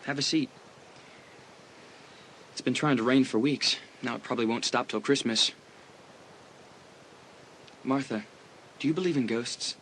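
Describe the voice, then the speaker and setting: A man's voice: medium pitch, unhurried, pauses often, a bit bright, slight background noise, natural voice. A young man playing an easygoing, teasing teenage school athlete in an audiobook dramatisation, chatting casually. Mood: grave, confiding.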